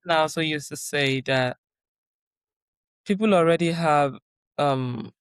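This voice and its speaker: Smooth-voiced woman sharing advice. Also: low voice, takes it slowly, no room sound. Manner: reflective, repeats key phrases for emphasis. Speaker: guest, doctoral researcher. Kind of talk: conversational interview.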